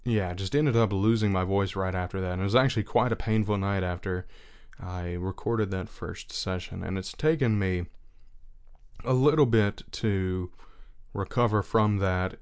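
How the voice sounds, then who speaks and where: A young man speaks in a lowish, resonant voice, earnest and unhurried. He reflects aloud in a personal monologue, pausing to find his words. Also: darkish tone, smooth. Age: young adult.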